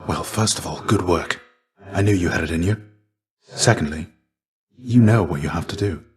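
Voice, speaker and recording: A man playing a weary, gentle warrior mentor in a video game, speaking in a measured, formal, earnest and fatherly way. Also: sits low, close to an everyday voice. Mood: warm encouragement. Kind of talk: scripted video game voice acting.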